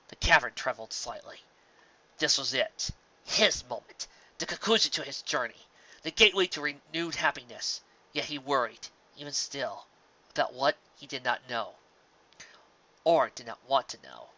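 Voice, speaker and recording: A man narrating scripted fiction in a dramatic, emphatic storytelling manner that moves between loud and hushed phrases. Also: medium pitch, lo-fi sound.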